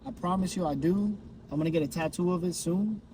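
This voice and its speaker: Animated swearing young man from Miami. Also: sits high, a little fast, noisy background. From Miami, Florida. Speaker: comedian guest. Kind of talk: casual podcast chat.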